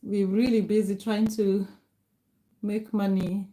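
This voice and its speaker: Brief: middle-aged Kenyan-accented woman, Kikuyu native, livestream host; warm, grateful chat; mellow tone. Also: medium pitch, level tone, takes it slowly. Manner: warm, grateful, greets viewers by name. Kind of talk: casual live chat reading comments.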